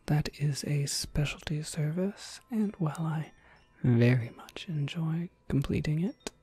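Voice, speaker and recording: A woman playing a gentle, courteous witch shopkeeper in an ASMR roleplay, speaking in an unhurried, breathy whisper. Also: low voice, dry recording, full character voice. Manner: unhurried, polite, softly explanatory. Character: gentle witch shopkeeper, courteous, quietly proud of her craft.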